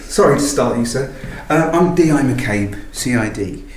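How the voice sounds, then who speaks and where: An actor playing a polite, quietly probing police detective in a radio drama, hesitant and understated. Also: male voice, medium pitch, lively intonation, moderate pace, reverberant, slight background noise, close to an everyday voice. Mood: grave, apologetic. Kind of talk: naturalistic radio drama acting.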